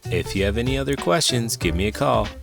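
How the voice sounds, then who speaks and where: Man as a patient, animated scientist uncle. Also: mid-range voice, fast, creaky, over music, voice pushed up. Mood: encouraging. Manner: explanatory, patient. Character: patient, kindly scientist uncle explaining to nephew.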